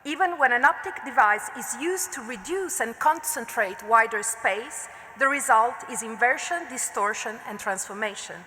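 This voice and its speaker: A woman's voice: a little high, pushed and bright. Italian-accented woman lecturing in a reverberant hall. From Italy. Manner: measured, deliberate delivery with occasional slips and fillers. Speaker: art history researcher giving a conference lecture. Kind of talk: reading a prepared academic lecture.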